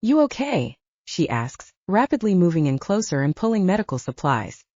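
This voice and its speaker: A woman's voice: fairly low pitch, lively intonation, fairly quick, darkish tone, dry recording, dull audio. A woman reading an audiobook, narrating dramatic fiction in a scripted style.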